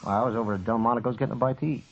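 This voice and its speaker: An actor playing a shifty, defensive saloon gambler in a radio western, with a folksy, evasive manner. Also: male voice, mid-range voice, steady tone, racing, lightly acted. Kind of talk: old-time radio western acting. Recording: dull, noisy old radio broadcast recording.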